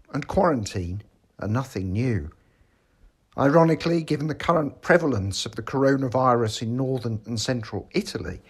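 A man narrates a scripted essay. His delivery is formal and measured, with a slightly husky, less polished tone at an average male pitch. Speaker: article reader.

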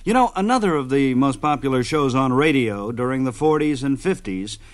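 A man's voice: mid-range voice, lively intonation, moderate pace. A man presenting a radio program as host, in a scripted announcing style.

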